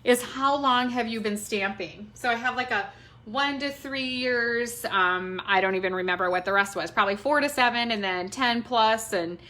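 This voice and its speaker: A woman's voice: on the high side, normal speed, sharp tone. A middle-aged woman with a Minnesota accent chatting casually and informally as host of a craft livestream, rambling as she goes. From Minnesota, United States. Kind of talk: casual chat to a livestream audience. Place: indoors at home, roomy space. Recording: roomy, distant mic, faint noise.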